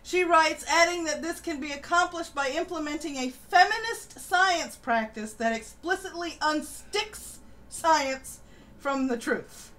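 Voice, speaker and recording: A woman's voice: high-pitched, animated, unhurried, a bit bright. A woman co-hosting a casual political live stream, reading aloud and reacting in a mocking, sardonic way. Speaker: live-stream co-host reading an article and chat comments. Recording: faint background noise.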